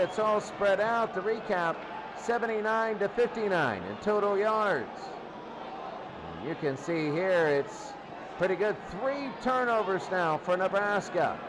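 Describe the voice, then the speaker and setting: Male football play-by-play caller, high-pitched, excitable and repetitive, over a loud noisy background. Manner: excitable, short repetitive phrases. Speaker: play-by-play sports commentator. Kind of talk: American football radio-style commentary.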